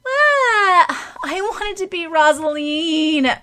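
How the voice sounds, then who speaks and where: A woman voicing a bossy, excitable schoolgirl with a loud, dramatic, exclamatory manner in an audiobook. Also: extremely high pitch, extremely slow, voice pushed up, exaggerated voice. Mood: wailing.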